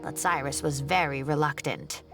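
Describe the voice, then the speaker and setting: Low-voiced elderly lady professor. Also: normal speed, music in the background. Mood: concerned, gossipy. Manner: chatty, expressive, old-fashioned phrasing. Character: elderly female professor, fussy, caring neighbour. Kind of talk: video game voice acting.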